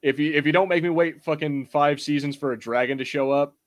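A man's voice: on the high side, brisk. A man hosting a podcast, chatting casually about fantasy film and TV in a rambling, irreverent, sweary way. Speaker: podcast host.

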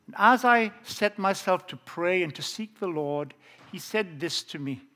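A man with a Welsh accent telling a personal testimony in a sermon, earnest and unhurried, slowing down to dwell on key phrases. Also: sits high, animated, pushed and bright. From South Wales. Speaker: preacher.

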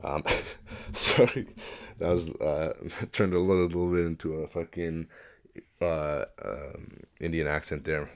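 A man's voice: rumbling low, steady tone, unhurried. A man with a stuffy nose talking into a desk microphone, rambling through a casual solo rant and swearing constantly. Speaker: podcast host. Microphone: desk microphone at a laptop.

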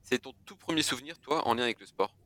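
Fast-talking bright-voiced male interviewer. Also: mid-range voice, animated. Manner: quick, loose phrasing, restarts questions. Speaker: podcast host, interviewer. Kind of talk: casual interview.

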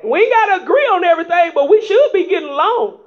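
A woman preaching a sermon in folksy vernacular, rising into shouts and prodding the congregation with repeated calls of "huh?" in a dull lo-fi recording. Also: very high voice, expressive, quick pace. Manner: emphatic call-and-response preaching with frequent "huh?" prompts.

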